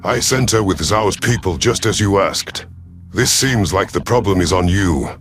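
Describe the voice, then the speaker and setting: A man voicing a proud, vengeful elderly alien reptilian commander in an audio drama, speaking in a formal, measured, sardonic way. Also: sits low, soft background music, lightly acted. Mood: guarded, defiant. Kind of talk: audio drama first-person narration and dialogue.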